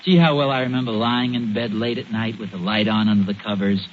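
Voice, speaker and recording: A man's voice: on the low side, steady tone, brisk, natural voice. An American actor playing a genial but stubborn suburban father in a 1940s radio comedy, often hesitant and stammering when flustered. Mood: nostalgic. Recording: noisy, muffled 1940s radio recording.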